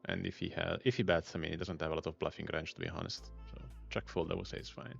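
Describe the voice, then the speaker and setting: A man's voice: low voice, flat delivery, quick pace, gravelly, highs cut off, faint music behind. A man with an Italian accent, talking through his poker hands on a live stream, thinking aloud and muttering hand names. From Italy.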